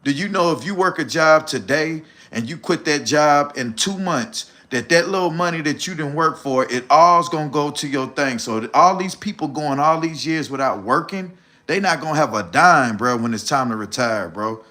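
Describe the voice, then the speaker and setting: A man's voice: a little high, expressive, normal speed, pushed and bright. A man, talking bluntly and preachily on a casual livestream, swearing freely and calling the listener "bro". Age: 40s. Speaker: livestream host.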